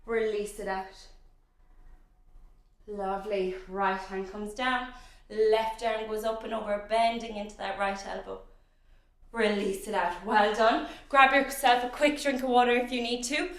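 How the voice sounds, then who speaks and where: A woman with a croaky, dry voice, cueing a Pilates class with steady counts and frequent praise. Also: mid-range voice, unhurried, very echoey, recorded from a distance. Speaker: Pilates instructor.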